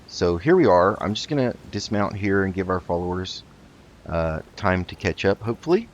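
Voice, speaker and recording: Low-voiced man, slightly muffled gameplay commentary. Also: lively intonation, moderate pace, a little hiss or hum. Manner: hesitant, thinking aloud. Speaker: host of a video game roleplay let's play.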